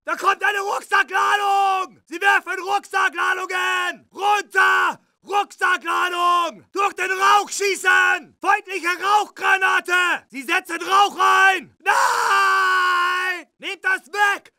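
A German wartime soldier from a video game, shouts urgent warnings and orders. His voice is pushed very high and hard, with a sharp, forceful edge. Each short command is drawn out and barked. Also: steady tone, takes it slowly, dry recording, clean studio sound, exaggerated voice. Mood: urgent, alarmed. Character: urgent German Second World War infantry soldier.

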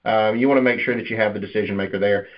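A man's voice: on the low side, steady tone, racing. A man coaching sales in a conversational, persuasive and explanatory way over a conference call line. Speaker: insurance sales manager and trainer. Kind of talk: conversational sales coaching with role-play pitches. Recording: dull, lo-fi conference call audio.

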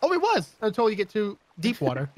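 A man's voice: high-pitched, huge pitch swings, quick pace, a little mellow. A man chatting casually and playfully with friends during a multiplayer video game. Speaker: gamer playing with friends. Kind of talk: casual multiplayer gaming chat.

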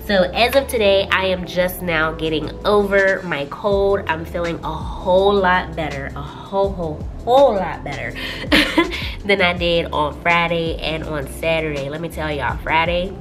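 A woman with a stuffy, just-over-a-cold voice talks slowly and loosely through her budget book. She pitches her voice a little low, laughs now and then and tosses in a lot of y'all. Music plays clearly behind her. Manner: chatty, laughs often, drawls y'all. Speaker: budgeting vlogger. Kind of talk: casual chat while working in a planner. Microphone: camera overhead on a desk.

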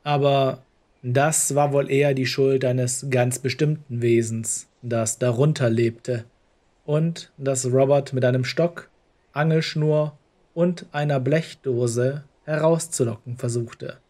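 Male narrator for fiction audiobook; dark, relaxed, mid-pitched read. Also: takes it slowly. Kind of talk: scripted story narration.

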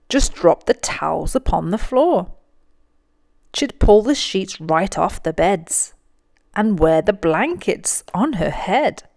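A woman reading a picture book aloud for children, deliberate and animated, with dramatic emphasis on key words. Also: lowish voice, dry recording, clean studio sound.

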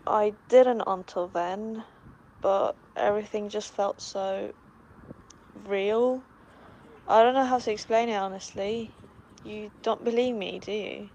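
A woman's voice: mid-range voice, steady tone, slow pace, darkish tone, muffled recording, a little hiss or hum. A woman voicing an uneasy, shaken young woman in an amateur horror video.

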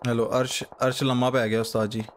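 A man's voice: mid-range voice, little pitch movement, fairly quick, slight background noise. A man, live-streaming a mobile game from a small room and bantering with viewers in chat. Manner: switches between Urdu and English, bantering with chat. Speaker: gaming streamer. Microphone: headset.

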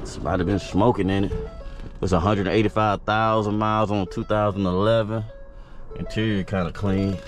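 A man from the American South, an auto broker, casually talking viewers through cars at an auction lot, slangy and relaxed. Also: fairly low pitch, moderate pace, music in the background. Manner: laid-back, slangy, talks to viewers as "y'all". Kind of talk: casual walkaround vlog. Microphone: body-worn action camera. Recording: loud outdoor lot noise.

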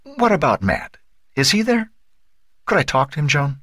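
A man's voice: low voice, wildly swooping pitch, moderate pace, fairly bright tone, breathy edge, dry recording, exaggerated voice. A man voicing a worried, polite mother in an audiobook, tense and tentative as she asks questions. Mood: anxious. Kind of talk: audiobook character acting.

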